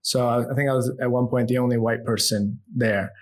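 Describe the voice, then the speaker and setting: A man from the United States telling his life story as a podcast guest. His voice is mid-pitched and conversational. He sounds relaxed and reflective, and often drops low and quiet as he thinks back. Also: a little fast. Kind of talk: storytelling in an interview.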